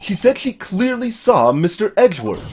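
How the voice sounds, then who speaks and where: A man's voice: high-pitched, big pitch swings, moderate pace, a little mellow, husky, pitched above the performer's own voice, heavily acted. A man voicing an earnest, determined young defense lawyer in a video game, emphatic and dramatic. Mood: indignant.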